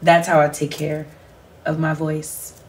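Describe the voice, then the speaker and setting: A woman worship singer talking thoughtfully as a guest on an interview set, with many pauses and ums. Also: sits low, flat delivery, recorded from a distance, a little hiss or hum. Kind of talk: casual interview chat. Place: bright living-room studio set.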